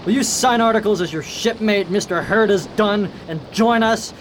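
High-voiced gloating pirate captain played by a man. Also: moderate pace, a little hiss or hum, natural voice. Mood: mocking, menacing. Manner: theatrical, taunting, commanding. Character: gloating, cruel, mocking male pirate captain. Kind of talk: pirate radio drama acting.

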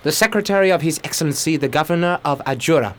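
A man's voice: on the high side, fast, a bit bright, lightly acted. Guy acting a stuffy official secretary in a radio play, very formal, huffy. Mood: concerned, formal. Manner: formal, indignant. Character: stiff, officious male secretary to a governor.

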